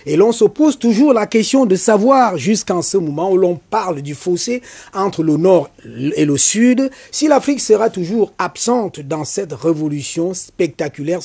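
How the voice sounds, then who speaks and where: A man's husky voice, set a little high for a man, hosting a television talk show. He speaks emphatically, leaning on key words and stretching them out. His tone is rhetorical, as if he were opening a debate. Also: dark tone. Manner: emphatic, rhetorical, slows down to stress points. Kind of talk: hosting a studio interview. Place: TV studio.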